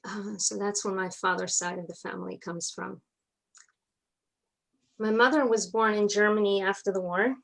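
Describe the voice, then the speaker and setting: A woman's voice: medium pitch, steady tone, moderate pace, gravelly, pauses often, fairly bright tone, poor audio. A woman with a North American accent, speaking as an interview guest and recounting family history in a conversational way. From North America (New York, Atlanta, Montreal). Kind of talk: personal family storytelling in conversation.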